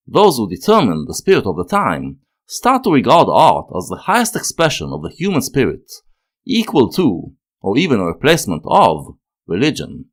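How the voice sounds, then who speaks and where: Low-voiced man narrating, strikingly varied intonation. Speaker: video essay narrator and critic. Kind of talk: scripted analytical narration.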